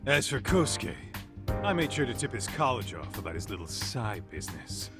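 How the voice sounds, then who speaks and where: An actor playing a blunt, streetwise detective in an English-dubbed game, talking in a casual, teasing, slangy way. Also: male voice, on the low side, very animated, normal speed. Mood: breezy. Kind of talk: English dub game cutscene dialogue. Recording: music behind the voice.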